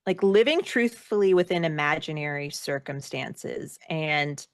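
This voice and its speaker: A woman speaking casually as an interview guest, reflective and self-deprecating, with an animated way of talking. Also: deep voice, unhurried, no room sound, clean studio sound. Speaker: guest, actor and performer.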